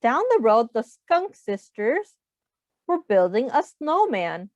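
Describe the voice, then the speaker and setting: A woman reading a picture book aloud to young children in an expressive, teacherly way. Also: on the high side, takes it slowly. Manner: expressive, asks the listeners questions. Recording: muffled, echoey room sound.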